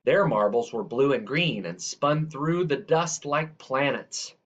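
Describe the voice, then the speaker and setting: A man reading a children's picture book aloud in a measured, deliberate way. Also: medium pitch. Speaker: reader. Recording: dull, lo-fi sound.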